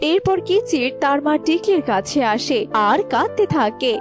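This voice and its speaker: A woman's voice: high-pitched, animated, moderate pace, music in the background. A woman reads scripted children's story narration.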